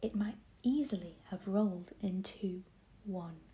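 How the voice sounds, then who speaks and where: A woman voicing a small, helpful yellow pixie in a soft whisper. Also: medium pitch, unhurried, natural voice.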